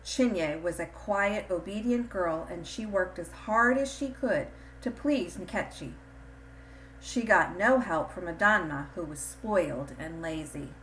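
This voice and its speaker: A woman reading a scripted children's storybook in an expressive, deliberate storytelling manner. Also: on the low side, a little hiss or hum, rough recording. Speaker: storybook reader.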